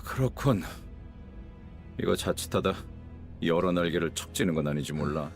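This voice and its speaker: A man's voice: low-pitched, animated, very fast, faint music behind. An actor voicing a grave, skeptical man who muses grimly in a video game. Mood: grave, wary. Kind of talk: Korean game voice acting.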